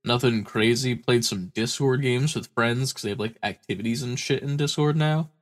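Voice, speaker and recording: A man's voice: medium pitch, normal speed. A man co-hosting a podcast in casual chat, stuffy-nosed from a cold, tired and rambling, swearing casually. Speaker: podcast co-host.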